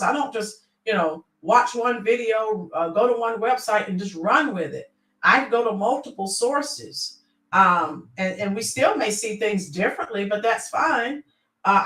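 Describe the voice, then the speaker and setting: A woman's voice: a little high, a bit bright, echoey room, distant mic, poor audio. A middle-aged woman hosting a casual livestream, reading out viewer comments and rambling with many fillers.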